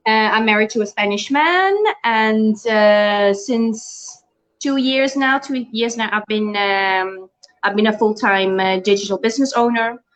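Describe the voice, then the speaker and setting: A woman's voice: mid-range voice, a little slow, a bit bright. A middle-aged woman from the Netherlands, a guest in an online interview, talking casually with many fillers and restarts. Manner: hesitant, many restarts and fillers, laughs often.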